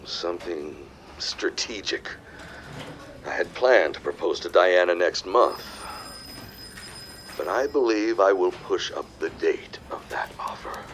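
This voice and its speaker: Scheming businessman with swooping, dramatic pitch. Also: lively intonation, takes it slowly, a bit bright, slight background noise, exaggerated voice. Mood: calculating. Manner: smug, formal, theatrical. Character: scheming, domineering businessman in a 1916 period drama. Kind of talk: audio drama acting.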